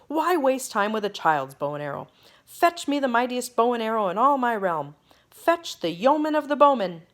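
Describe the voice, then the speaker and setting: A woman's voice: fairly high pitch, big pitch swings, moderate pace, voice pushed up. A woman voicing an impatient, bossy king who blusters and gives orders. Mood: exasperated. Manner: blustering, commanding.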